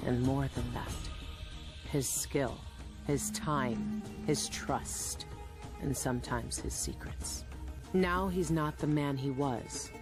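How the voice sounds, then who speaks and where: A woman playing a weary, guilt-ridden former assassin in a video game, introspective and clipped over music. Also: extremely low pitch. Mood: somber. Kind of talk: brooding video game narration and dialogue.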